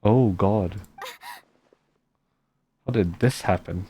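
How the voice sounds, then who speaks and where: A man's voice: fairly low pitch, very slow, mellow, slight background noise. A man commentating casually on a game playthrough, reading out text and adding informal, reactive asides. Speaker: game streamer.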